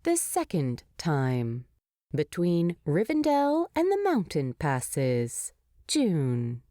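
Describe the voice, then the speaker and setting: A woman reading scripted story narration in an expressive storytelling style. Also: on the low side, no room sound, studio quality. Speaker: fan fiction narrator.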